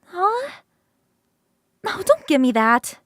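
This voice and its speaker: Woman doing a bubbly succubus roommate for asmr roleplay, high girly voice, really dry close sound, rambly and teasing. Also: huge pitch swings, slow pace, no echo, exaggerated voice. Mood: exasperated, playful.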